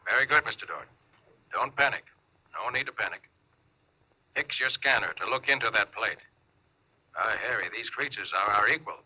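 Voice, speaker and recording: A commanding starship captain in 1950s radio style. He speaks with clipped, deliberate authority, through a dull old broadcast recording. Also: on the low side, expressive, bright, forceful tone, slightly rough, exaggerated voice. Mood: calm command. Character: commanding, pondering male spaceship captain. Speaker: actor in a radio drama.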